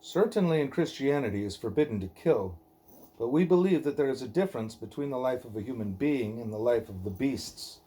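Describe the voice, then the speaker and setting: A man reading a devotional dialogue aloud in measured, deliberate phrases. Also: medium pitch, animated, warm tone. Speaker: reader of a devotional book; parent reading aloud at a child's bedtime.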